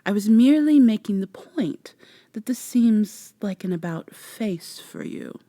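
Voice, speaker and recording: A woman voicing a haughty, teasing vampire in a formal, measured, condescending way for an ASMR roleplay. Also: mid-range voice, lively intonation, dark tone, dry recording, studio quality, pitched above the performer's own voice. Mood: pointed, probing. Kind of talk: soft-spoken ASMR roleplay acting.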